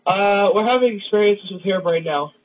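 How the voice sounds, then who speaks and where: A young man co-playing a console game with his friend, quick and directing, exclaiming at finds, heard from off-mic in the room. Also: high-pitched, recorded from a distance, low-quality recording. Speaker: co-player. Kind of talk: casual gaming chat.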